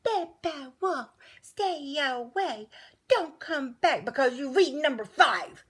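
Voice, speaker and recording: A woman voicing sad, accusing little ducklings in a slow singsong chant from a storybook. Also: high voice, lively intonation, fairly bright tone, pitched above the performer's own voice, full character voice.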